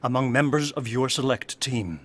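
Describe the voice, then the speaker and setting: Man acting as officious subordinate, stiff, formal, husky, tense bright voice, audio drama. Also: medium pitch, moderate pace, lightly acted. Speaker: actor.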